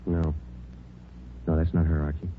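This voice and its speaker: An American actor playing a stoic police sergeant who narrates his case in a clipped, deadpan, matter-of-fact way in a 1940s radio drama. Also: a bass voice, moderate pace, a little hiss or hum. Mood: businesslike. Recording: muffled 1940s radio recording with music.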